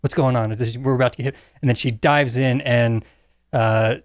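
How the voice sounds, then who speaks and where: A man's voice: mid-range voice, steady tone, moderate pace, no echo, studio quality. A man on a podcast panel talking through films in casual conversation. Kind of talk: casual chat about movies.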